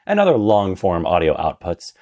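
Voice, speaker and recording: A man's voice: deep voice, wildly swooping pitch, moderate pace, mellow. A man reading his own prepared essay aloud as a podcast narrator, with an emphatic, enthusiastic delivery. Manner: refers to himself as "we".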